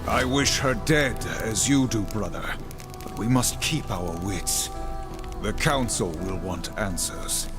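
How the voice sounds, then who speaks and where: Grave, slow-spoken warrior. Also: male voice, fairly low pitch, lively intonation. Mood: solemn resolve. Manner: formal, measured, grave. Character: stern, solemn male warrior, grave and level-headed. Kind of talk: video game dramatic acting. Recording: music under the voice.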